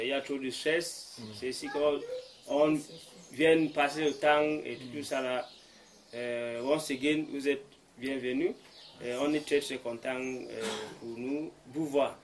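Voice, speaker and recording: Cameroonian man, English-native host, hesitant, mid-pitched, full of fillers. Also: a little hiss or hum. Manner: hesitant, many fillers, mixes French and English. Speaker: host of the gathering. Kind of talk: halting welcome speech. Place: room in a private home.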